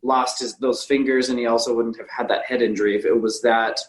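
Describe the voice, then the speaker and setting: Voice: medium pitch, steady tone, a little fast. A podcast co-host talking casually in a film discussion. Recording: echoey, roomy sound.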